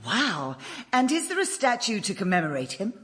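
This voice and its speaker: A woman's voice: mid-range voice, sing-song, normal speed, a bit bright. A woman acting an inquisitive, polite, easily impressed visitor in a radio sitcom. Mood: amazed, curious. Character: curious, easily impressed woman asking questions on a tour.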